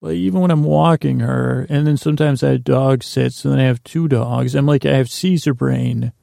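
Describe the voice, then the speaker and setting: A man podcast host with heavy vocal fry and a close, dry sound. He rambles through a personal monologue in a halting way, doubling back on his sentences and voicing bits of imagined conversation. The tone of his voice is dark and relaxed. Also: mid-range voice, no room sound. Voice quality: gravelly, creaky. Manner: halting, meandering, constant false starts and self-interruptions; slips into imagined dialogue.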